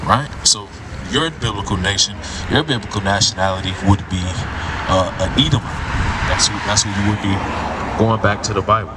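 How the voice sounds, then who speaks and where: Street preacher guy lecturing, keeps saying "right?", mic kinda far, loud traffic behind. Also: on the low side, brisk. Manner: lecturing, asks "right?" again and again. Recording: street noise, mic at a distance.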